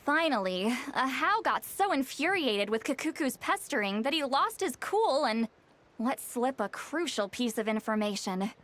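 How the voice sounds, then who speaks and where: A woman voicing a proud, sharp-tongued young shaman in a video game, explaining lore in a wry, lecturing way. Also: sits high, very animated, normal speed. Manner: wry, lecturing, slightly haughty. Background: game soundtrack music.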